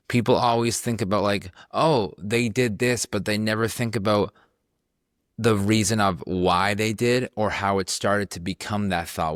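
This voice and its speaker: Deep-voiced male podcast host. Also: a bit bright, no echo, clean studio sound. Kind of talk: casual conversational interview.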